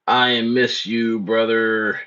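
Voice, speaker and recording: A man's voice: fairly low pitch, little pitch movement, slow, bright, forceful tone, lo-fi sound. Rambling man hosting a crypto stream. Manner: loose and repetitive, echoes phrases, reads chat aloud. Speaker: crypto livestream host. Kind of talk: casual livestream chat.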